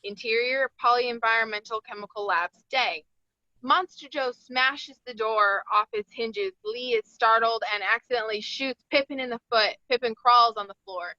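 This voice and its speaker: A woman's voice: fairly high pitch, moderate pace, sharp tone, no room sound. A woman narrator reading screenplay stage directions aloud at a table read. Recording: dull, dry lo-fi audio.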